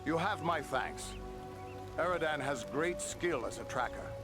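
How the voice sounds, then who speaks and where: A dutiful ranger commander in a fantasy video game. His delivery is grave, formal and deliberate, with steady resolve. Music is clearly heard behind him. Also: on the high side. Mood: earnest counsel. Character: dutiful male ranger leader, sober and resolute.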